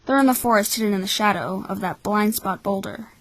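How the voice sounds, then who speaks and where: A woman's voice: mid-range voice, no room sound, natural voice, poor audio. Cynical, calculating boy, voiced by a woman, dry sound. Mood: cool, calculating. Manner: measured, blunt, explanatory. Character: cynical, calculating twelve-year-old orphan boy, coolly bitter. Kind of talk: anime-style fan dub acting.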